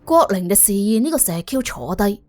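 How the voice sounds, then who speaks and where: 一個女人用粵語演繹有聲偵探小說，照稿講故事，做旁白。. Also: female voice, medium pitch, big pitch swings, fairly quick. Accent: Cantonese. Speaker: audiobook narrator. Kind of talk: expressive scripted detective-story narration.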